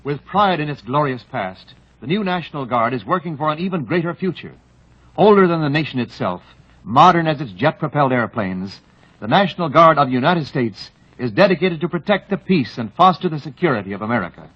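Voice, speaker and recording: A man's voice: a little high, animated, a little fast, fairly bright tone, breathy edge, no echo. A man announcing a scripted promo on old-time radio in a formal, solemn delivery. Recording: muffled old radio recording.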